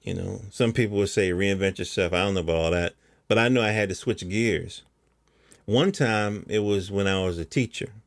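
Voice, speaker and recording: A man's voice: fairly low pitch, moderate pace, gravelly, dry recording, clean studio sound. A middle-aged American man hosting a podcast, talking casually in a rambling, colloquial monologue and often checking in with the listener. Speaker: podcast host.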